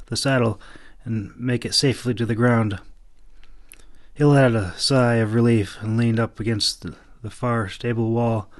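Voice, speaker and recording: A man narrating a fantasy audiobook, reading scripted prose with some halting stumbles and restarts. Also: medium pitch.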